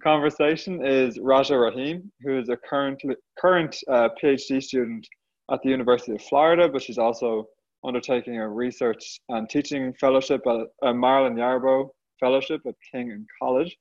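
An Irish man hosts a podcast interview over dull, low-fidelity audio. His voice sits at a middling pitch, and he talks conversationally with a self-deprecating touch. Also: brisk, a little mellow. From Ireland. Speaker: podcast host.

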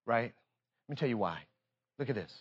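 An American man preaching a fervent sermon in an emphatic, repetitive call-and-response manner, moving between confiding talk and impassioned shouting. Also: medium pitch, lively intonation, fairly quick, slightly rough, lo-fi sound.

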